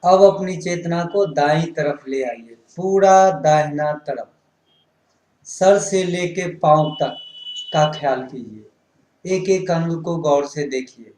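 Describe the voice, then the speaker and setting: Male yoga instructor, fairly high pitch, very unhurried delivery of short guided-meditation cues. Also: darkish tone. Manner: instructing in short, repetitive phrases. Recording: roomy, echoing sound.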